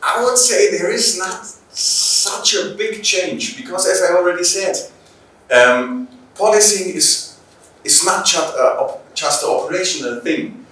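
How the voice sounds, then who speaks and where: A man from Bavaria with a German accent, a native German speaker, answering interview questions as a police trainer, haltingly searching for words in his second language. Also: sits high, bright, forceful tone. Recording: very echoey room, distant microphone.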